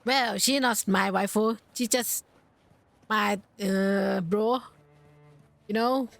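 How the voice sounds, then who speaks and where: A woman's voice: mid-range voice, fairly even intonation, takes it slowly, vocal fry. Casual woman streamer reacting to a game. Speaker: livestreamer. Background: game music.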